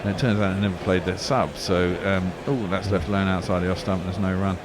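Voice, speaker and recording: A man with a lowish voice calls cricket ball by ball in a loose, chatty way, drifting into long stories between deliveries. Clear background noise runs under his voice. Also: little pitch movement, brisk. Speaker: cricket commentator. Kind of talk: casual ball-by-ball radio commentary.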